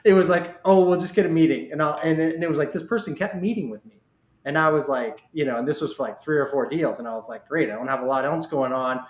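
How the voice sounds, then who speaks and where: A man's voice: on the high side, fast. A man hosting a casual podcast interview, talking conversationally and telling stories with quoted asides. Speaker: podcast host. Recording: roomy, echoey sound.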